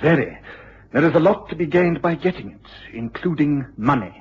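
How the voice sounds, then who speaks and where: An actor playing an agitated, excitable university lecturer with an English accent and a husky voice in a period radio drama, speaking formally and precisely. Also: male voice, fairly high pitch, normal speed. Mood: anxious, earnest. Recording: dull, lo-fi old radio recording.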